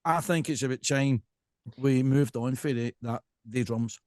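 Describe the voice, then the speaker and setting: Emphatic Scottish podcast host, dry close sound. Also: male voice, medium pitch, unhurried, darkish tone, dry recording. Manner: emphatic, rambling, often checks with "right?". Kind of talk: casual chat interview.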